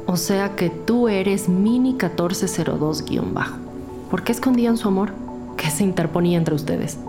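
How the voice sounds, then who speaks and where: A woman playing a determined, probing police detective in an audio crime drama, businesslike, with loud music behind her. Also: mid-range voice, lively intonation, normal speed, close to an everyday voice. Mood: stern, probing. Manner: businesslike, questioning. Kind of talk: scripted audio drama dialogue.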